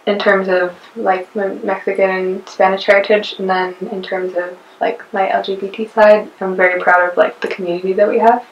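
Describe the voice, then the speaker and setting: A teenage girl, a high school freshman, speaking hesitantly in a documentary interview. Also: flat delivery, moderate pace, hardly any pauses. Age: teens. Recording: distant, roomy, dull lo-fi sound.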